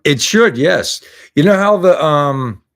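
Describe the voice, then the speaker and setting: A man's voice: on the high side, animated, slow, vocal fry, a bit bright. A man in his fifties hosting a car hobby livestream, chatting casually and rambling through answers to viewer questions with lots of restarts. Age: 50s. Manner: rambling, many restarts and fillers.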